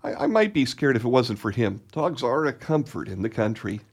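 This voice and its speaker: Man as a folksy old caretaker, lively pitch. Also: normal speed, breathy edge. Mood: genial. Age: older. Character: kindly, talkative old country caretaker. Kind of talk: radio play acting.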